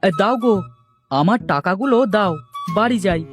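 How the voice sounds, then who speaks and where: A man's voice: sits high, expressive, normal speed. A man voicing a nosy, easily amazed village vendor in a cartoon, inquisitive and exclaiming. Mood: curious, amazed. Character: nosy, amazed male village jaggery seller. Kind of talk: cartoon character dialogue. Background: music.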